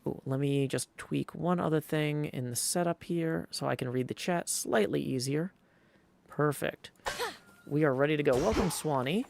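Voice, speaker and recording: A man's voice: on the high side, moderate pace, gravelly, darkish tone, slight background noise. A man hosting a livestream with casual, rambling game commentary, addressing viewers and reading text aloud. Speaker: livestream host and gamer. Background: video game sound.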